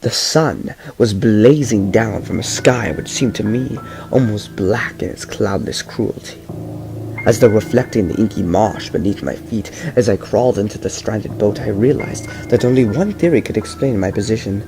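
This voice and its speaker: A young man reads a dark tale in the first person, slow and measured, tripping over a long word now and then. His voice sits low and gravelly. Loud music plays under the reading throughout. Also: low-quality recording. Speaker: narrator reading a story. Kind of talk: amateur audiobook reading. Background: loud background music.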